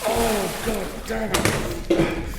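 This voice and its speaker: Echoing, high-pitched man acting a cornered fugitive. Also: steady tone, very slow, gravelly, heavily acted. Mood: desperate. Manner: indignant, desperate. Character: cornered, defiant male radio host on the run. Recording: noisy, echoing cavernous reverb.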